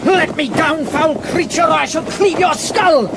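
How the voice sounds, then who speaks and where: An actor playing an earnest, naive young hero with mock-heroic delivery in a radio fantasy comedy. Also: male voice, very high voice, measured pace, over a noisy crowd, heavily acted. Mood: panicked. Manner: mock-heroic, declamatory, excitable. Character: earnest, naive young male hero, humble log poacher. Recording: clear background noise behind the voice.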